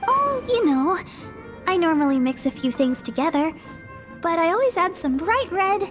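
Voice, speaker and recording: A woman voicing a sweet, modest young girl in an anime game, speaking gently and politely. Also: very high-pitched, very animated, moderate pace, over music. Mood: shy, friendly.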